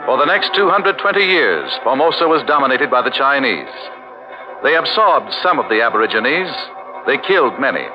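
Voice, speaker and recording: A man narrating a 1940s radio documentary drama with dramatic storytelling. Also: medium pitch. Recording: muffled 1940s radio broadcast with faint music.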